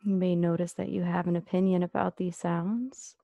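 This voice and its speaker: A woman leading a guided meditation as an instructor, speaking gently and unhurriedly with long pauses. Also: lowish voice, level tone, creaky, dry recording, highs cut off.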